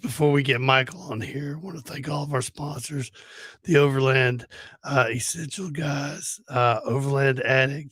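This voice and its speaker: A man co-hosting a casual overlanding talk show, hoarse and husky because he has lost his voice, speaking in a laid-back way with laughs and swearing. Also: mid-range voice, no echo. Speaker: co-host. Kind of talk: casual podcast chat.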